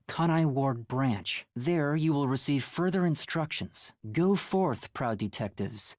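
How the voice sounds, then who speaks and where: Timid, husky-edged amnesiac boy detective. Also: rumbling low, darkish tone, full character voice. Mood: reading aloud. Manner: hesitant, stammering, earnest. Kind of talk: video game voice acting.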